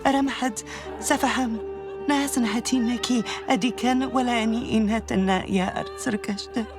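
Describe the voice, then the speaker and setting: A woman's voice: fairly high pitch, steady tone, very fast, over music, natural voice. A woman playing an urgent Libyan daughter in a dramatic video game scene.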